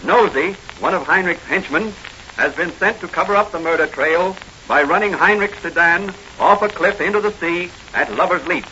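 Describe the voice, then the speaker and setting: Male serial announcer, dramatic delivery, bright cutting tone, muffled old-time radio recording with some noise. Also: high voice, normal speed. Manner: dramatic, declamatory. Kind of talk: 1940s radio scripted narration.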